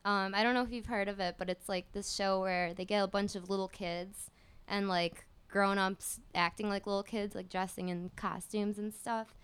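Very clear-voiced woman in her twenties. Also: medium pitch, steady tone, moderate pace, a bit bright. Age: 20s. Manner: rambling, lots of "like", laughs easily. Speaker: bass player, guest. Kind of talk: casual interview chat.

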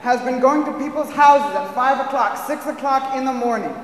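A man's voice: very high-pitched, normal speed. Activist guy firing up a crowd, impassioned, big long hall reverb. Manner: impassioned, rousing. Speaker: community activist speaking at a public hearing. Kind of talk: rallying public speech. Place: large public meeting hall. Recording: echoey hall from a distance.

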